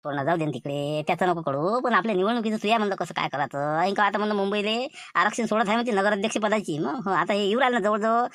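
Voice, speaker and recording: A man's voice: high-pitched, fast talker, a bit bright, no echo, clean studio sound. A man voicing a gossipy village man in a comic cartoon, speaking colloquial Marathi with a Varhadi accent. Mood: chatty. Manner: colloquial, chatty. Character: gossipy village man chatting over the newspaper.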